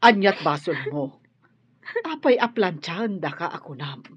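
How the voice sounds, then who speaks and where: A woman playing a kindly, concerned helper in a radio drama, with a husky voice. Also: fairly low pitch, animated, measured pace. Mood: concerned, questioning. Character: concerned, kindly woman caring for an injured girl. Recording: dull, muffled studio sound.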